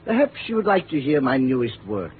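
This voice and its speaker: A man's voice: mid-range voice, very animated, a little fast, close to an everyday voice. Man playing a swooping, tipsy, washed-up musician. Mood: sardonic, grandiose. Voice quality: slightly thick-tongued. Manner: theatrical, florid, sardonic. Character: tipsy, bitter, washed-up concert musician in his forties. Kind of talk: 1950s radio drama acting. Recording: narrow, hissy 1950s radio recording.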